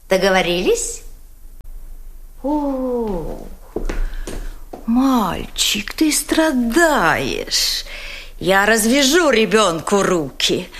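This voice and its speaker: A cunning female interrogator whose sugary voice swoops up and down as she coaxes. She talks slowly and deliberately. Every kind word is false. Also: medium pitch, fairly bright tone, full character voice. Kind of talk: radio drama acting.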